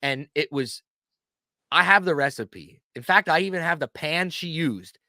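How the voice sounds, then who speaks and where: Man from Pennsylvania, host, lively swinging pitch, playful chat. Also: a little slow, no room sound, studio quality. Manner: animated, playful questioning. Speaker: channel host. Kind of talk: casual chat.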